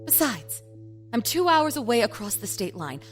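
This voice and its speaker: A woman playing a tense married woman feigning calm on the phone in an audio drama, guarded yet reassuring. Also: fairly high pitch, moderate pace, soft background music, pitched above the performer's own voice.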